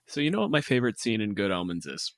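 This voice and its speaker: A man with a low voice chats as a podcast co-host, laying out ideas. His talk is casual and salted with swearing, recorded dry. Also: big pitch swings, fast talker, fairly bright tone, dry recording. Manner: informal, sweary. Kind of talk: casual planning chat.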